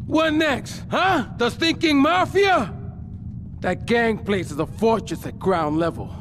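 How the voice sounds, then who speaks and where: High, explosive drug lord. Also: male voice, lively intonation, slow pace, fairly bright tone, in a large hall, a little hiss or hum, exaggerated voice. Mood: irate. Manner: explosive, barking, theatrical. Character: ranting short-tempered male drug lord in a crime game. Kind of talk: video game cutscene acting.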